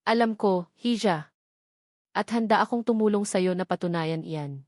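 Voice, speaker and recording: Woman as a calm, scheming older businessman. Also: medium pitch, no echo, studio quality, natural voice. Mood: reassuring. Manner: calm, measured, sympathetic. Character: calm, scheming businessman in his fifties, falsely sympathetic.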